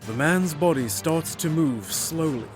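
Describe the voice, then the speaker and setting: A man's voice: fairly high pitch, expressive, a little slow. Man narrating dramatic fantasy over music.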